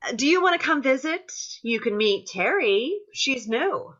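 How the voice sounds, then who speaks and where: A woman's voice: sits high, expressive, measured pace, a bit bright, muffled recording, heavily acted. A woman playing an earnest, placating activist group leader in a play reading, hesitant and often trailing off.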